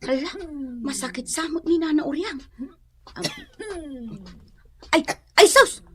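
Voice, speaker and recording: High-pitched, fretful young Ilocano woman. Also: lively intonation, slow, a little hiss or hum. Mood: alarmed. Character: caring, fretful young village woman, outspoken. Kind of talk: radio drama acting.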